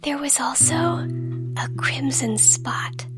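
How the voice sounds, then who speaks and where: A woman whispers a bedtime fairy tale in gentle ASMR style. Her hushed delivery is very slow and high in pitch. Soft plucked guitar plays behind her. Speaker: ASMR performer reading a bedtime story. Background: quiet plucked guitar music.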